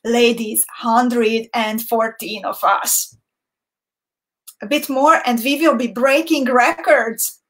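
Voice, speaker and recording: A woman from Slovakia with a Hungarian accent leads a live beading lesson in English, which is not her first language. Her voice sits a little high, and she speaks slowly and warmly, laughing often and greeting viewers by name. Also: fairly even intonation. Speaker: beading instructor. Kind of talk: live-stream tutorial.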